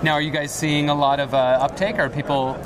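A man hosting a casual tech interview, asking upbeat questions and giving quick affirmations. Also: fairly high pitch, flat delivery, background noise. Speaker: host. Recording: distant mic with music behind.

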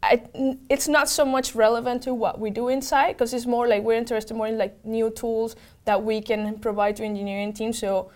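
Clear, higher-voiced Venezuelan woman being interviewed. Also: flat delivery, fairly quick, hardly any pauses, darkish tone. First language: Spanish. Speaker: interview guest, tech program manager. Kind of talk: conversational interview answers.

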